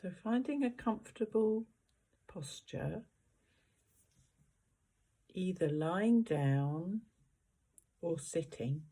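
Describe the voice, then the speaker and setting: Meditation guide: very dark, relaxed timbre, slow pace, gentle instruction with roomy pauses between phrases. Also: mid-range voice, husky.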